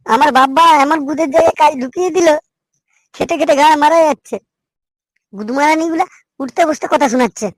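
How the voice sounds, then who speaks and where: Woman doing a mouthy young cartoon guy, high voice, always griping and cussing. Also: a little fast, long pauses, no echo, close to an everyday voice. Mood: angry complaining. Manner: brash, swearing, complaining. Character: foul-mouthed, resentful young male slacker worker with big threats. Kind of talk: Bengali comedy cartoon dub.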